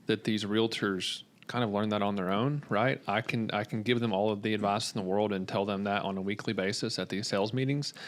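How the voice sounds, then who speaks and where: An American man speaking as a podcast guest, explaining in a measured conversational way with hesitant pauses. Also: on the low side, steady tone. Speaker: mortgage lender guest.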